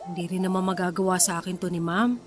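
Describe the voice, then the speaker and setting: A woman's voice: on the low side, little pitch movement, fast, over music, close to an everyday voice. A woman playing a suspicious, worried wife confiding in a younger sister in a radio drama, hesitant and defensive. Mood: uneasy, doubtful.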